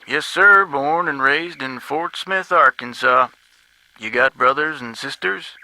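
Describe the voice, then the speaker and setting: A man's voice: medium pitch, measured pace, bright, forceful tone, no echo, rough recording. An actor playing a folksy, long-winded man with a Southern US accent, rambling in a game. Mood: folksy reminiscing. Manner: garrulous, drawling folksy storytelling. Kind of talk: game voice acting.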